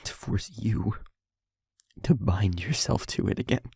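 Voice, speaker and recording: A man playing a guilt-ridden demon lover in an intimate audio roleplay, speaking in a halting, breathy, confessional hush. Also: on the low side, no echo, clean studio sound, heavily acted. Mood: remorseful, haunted. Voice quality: breathy, husky, whispery.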